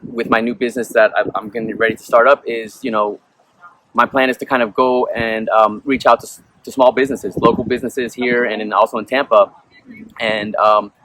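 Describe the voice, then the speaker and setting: A man's voice: medium pitch, flat delivery, brisk, a bit bright, no room sound. A young man born in Peru, a musician being interviewed, who rambles casually and fills his talk with "you know" and "um". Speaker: musician guest. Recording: noisy background.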